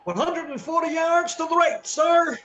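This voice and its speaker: A man playing an excited young artillery observer reporting in a radio play. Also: extremely high pitch, normal speed. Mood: urgent. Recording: noisy, echoey, dull lo-fi sound.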